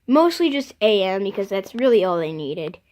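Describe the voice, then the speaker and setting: A vlogger casually showing off a collection, speaking unscripted and haltingly, often stopping to clear the throat. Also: medium pitch, very animated, darkish tone. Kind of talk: casual unscripted show-and-tell.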